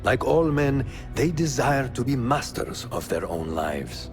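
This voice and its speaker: An actor playing a calm, wise male assassin mentor who speaks in a measured, grave way. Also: mid-range voice. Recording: game audio with music.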